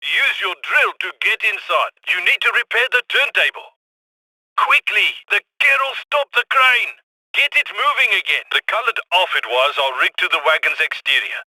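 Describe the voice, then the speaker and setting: A brisk heist coordinator in a video game, wry and instructive. His high voice is piercingly bright, coming dry through a radio filter. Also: sing-song, no echo, heavily acted, rough recording. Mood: urgent, instructive.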